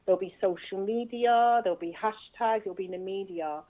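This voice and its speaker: A woman answering interview questions in an earnest, measured way, speaking from her own experience as an advocate. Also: medium pitch. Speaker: guest, bipolar advocate. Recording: tinny phone-line sound.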